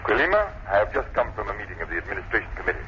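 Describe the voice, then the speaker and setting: A man's voice: on the low side, very animated, rapid-fire. An actor playing a severe, dignified male university president in an old radio drama. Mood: stern, indignant. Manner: severe, formal. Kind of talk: 1940s radio drama acting. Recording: muffled, noisy old-time radio recording.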